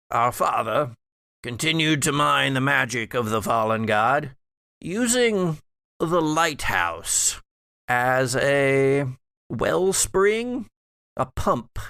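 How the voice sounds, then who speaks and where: A man playing an undead elderly patriarch in a tabletop horror game, rambling and halting, wry and weary. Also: mid-range voice, creaky, bright, forceful tone, clear, resonant tone, no room sound, clean studio sound, exaggerated voice. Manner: halting, rambling storyteller with musing asides.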